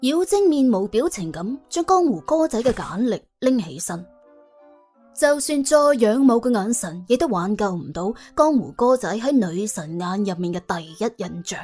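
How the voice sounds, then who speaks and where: A woman with a Cantonese accent narrating a comic audiobook in a colloquial, wry and animated way. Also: medium pitch, normal speed, soft background music.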